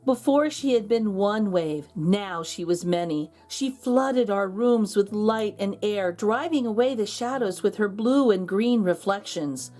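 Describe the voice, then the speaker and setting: A woman narrating a children's storybook aloud as a read-aloud host, expressive and drawing out key words. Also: medium pitch, unhurried, few pauses, faint music behind.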